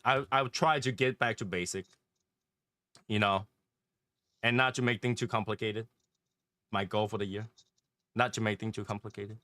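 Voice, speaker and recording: Bright-voiced man with a Taiwanese accent. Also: fairly low pitch, fairly even intonation, normal speed, pauses often, no room sound. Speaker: host and leader of an online cigar community. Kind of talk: casual group chat.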